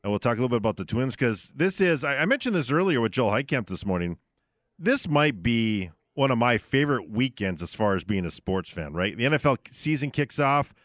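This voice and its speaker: A man's voice: medium pitch, expressive, brisk, a bit bright, no echo. Guy doing casual sports radio chat from the studio, close dry sound, conversational.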